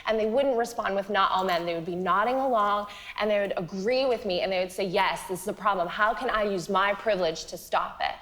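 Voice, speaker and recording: A woman's voice: medium pitch, a little fast, hardly any pauses, a bit bright. A woman who is a guest on a comedy panel, arguing in emphatic, building rhetorical rants. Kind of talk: impassioned comedic argument. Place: TV studio with audience.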